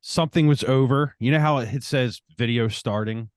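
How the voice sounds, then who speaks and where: A man's voice: medium pitch, moderate pace, no room sound, studio quality. A man co-hosting a podcast, telling a story in a laid-back way, full of "dude" and "man". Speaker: podcast co-host. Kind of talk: casual storytelling chat.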